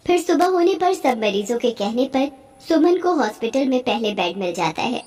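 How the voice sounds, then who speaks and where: High-voiced woman narrating a story. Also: big pitch swings, normal speed, darkish tone. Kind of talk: scripted cartoon story narration.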